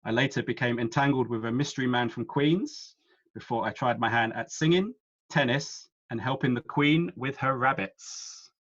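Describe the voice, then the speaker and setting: A man of ordinary pitch hosts a quiz, reading every clue out slowly and then a second time. His voice arrives over a video call, slightly dull and lo-fi. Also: a bit bright. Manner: reads each clue slowly twice. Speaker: quiz host.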